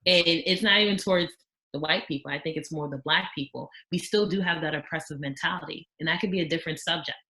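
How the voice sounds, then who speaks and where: A young woman of Haitian background talking casually as a podcast guest, outspoken and animated, acting out the voices she quotes. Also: low-pitched, fast talker, rough recording.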